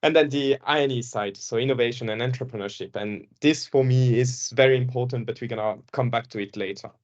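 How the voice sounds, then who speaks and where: A man's voice: medium pitch, a little fast, lo-fi sound. A young man from Belgium whose first language is French, giving a casual presentation at an information session as a former student, often repeating and restarting his words.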